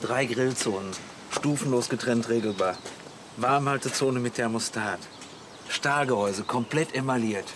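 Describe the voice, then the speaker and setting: An actor playing a grumpy, jealous, middle-aged camper husband in a blustering, colloquial way in a comic radio play. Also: male voice, medium pitch, normal speed, close to an everyday voice. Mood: proud. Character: grumpy, jealous camper husband, proud of his gas grill. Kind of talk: German radio play acting. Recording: noisy outdoor ambience.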